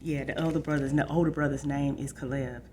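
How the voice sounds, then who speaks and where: A woman's voice: extremely low pitch, steady tone, a little fast, a little mellow, a little hiss or hum, rough recording. A woman hosting a book program for children, explaining things directly to young listeners and reading aloud. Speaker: library book show host. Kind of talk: informal book talk and read-aloud for children.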